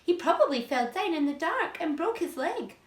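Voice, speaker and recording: A woman's voice: very high-pitched, sing-song, moderate pace, echoey room, voice pushed up. A woman voicing a spiteful, bullying aunt from a children's storybook in an exclamatory, threatening manner. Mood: gloating.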